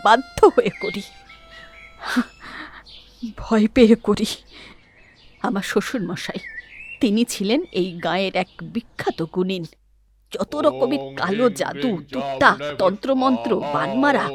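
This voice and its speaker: An actress voicing an older village mother-in-law who grievingly tells of a cursed idol and warns her daughter-in-law. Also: on the high side. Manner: storytelling, grieving, warning.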